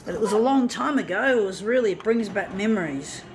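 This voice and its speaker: A woman's voice: mid-range voice, animated, brisk, a little hiss or hum, low-quality recording. A middle-aged woman reminiscing casually about mixtapes and zine culture, rambling with fillers and restarts. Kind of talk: casual reminiscing while working.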